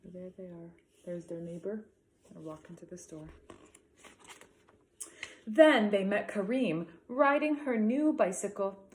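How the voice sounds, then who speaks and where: Female primary teacher, expressive storybook read-aloud with slow emphasis and questions for young listeners. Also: medium pitch, a little hiss or hum. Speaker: elementary school teacher. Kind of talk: picture book read-aloud for children.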